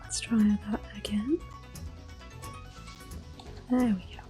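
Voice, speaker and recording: A woman doing ASMR gameplay commentary in a soft, breathy, gentle voice, mostly whispered. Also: mid-range voice, fairly even intonation, soft background music. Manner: gentle, unhurried. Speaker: game streamer.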